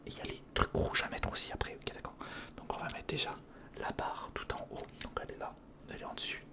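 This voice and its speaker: A man whispering an informal ASMR narration of hands-on work, thinking aloud and softly repeating words, close to the microphone. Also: fast talker. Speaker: ASMR video creator. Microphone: close recorder or studio microphone.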